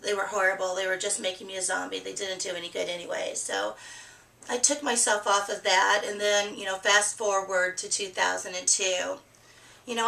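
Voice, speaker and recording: Middle-aged woman vlogging at her webcam, hoarse from a cold, chatty, small echoey room. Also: medium pitch, pushed and bright, far from the mic. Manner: chatty, candid, laughs easily. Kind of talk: casual personal vlog. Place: small room with a plain green wall.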